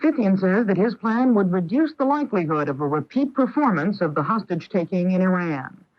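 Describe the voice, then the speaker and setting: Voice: mid-range voice, animated, fairly quick. Radio news anchor, dull vintage broadcast. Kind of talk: scripted news reading.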